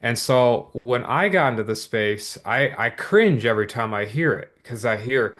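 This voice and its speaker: A man pitches a business opportunity to an online audience with steady, eager energy. He keeps circling back on his points, tossing in quick asides and rhetorical questions. His voice sits at an ordinary middle pitch for a man. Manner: energetic, repetitive motivational patter with many asides. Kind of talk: upbeat sales pitch to viewers.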